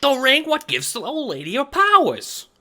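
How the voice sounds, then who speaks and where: A man voicing an earnest, dim-witted clownish gangster henchman in an audio drama, squeaky, eager and childlike. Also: wildly swooping pitch, moderate pace, pushed and bright, exaggerated voice. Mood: excited.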